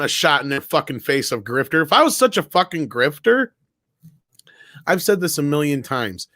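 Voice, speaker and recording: A man's voice: fairly high pitch, pushed and bright, dry recording, studio quality. A man co-hosting an online show in casual, opinionated chat, speaking bluntly and emphatically with plenty of swearing.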